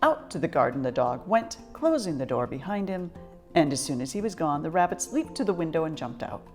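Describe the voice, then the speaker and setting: A woman reading a children's picture book aloud in an expressive scripted storytelling style. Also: deep voice, a little fast, soft background music. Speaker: storybook reader.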